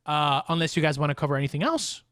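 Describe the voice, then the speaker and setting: A man's voice: fairly high pitch, expressive, fast talker, fairly bright tone, clear, resonant tone, no room sound, clean studio sound. A man hosting a podcast in a casual, chatty style. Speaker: podcast host.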